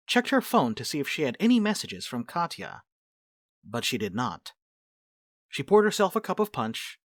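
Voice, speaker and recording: A woman's voice: deep voice, no room sound, clean studio sound. A woman reading scripted narration and stage directions for a dramatic reading.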